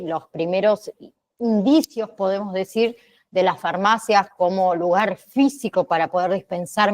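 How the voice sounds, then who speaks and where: A woman lecturing as a course teacher, often tagging her phrases with short questioning check-ins. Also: medium pitch. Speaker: teacher of an online pharmacy assistant course. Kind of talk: online lecture, explaining history to students.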